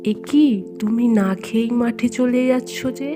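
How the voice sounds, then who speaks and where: A woman voicing a poor farmer's caring wife and mother in a cartoon, gentle and soothing, over loud background music. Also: fairly high pitch, fairly even intonation, normal speed, close to an everyday voice. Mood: worried.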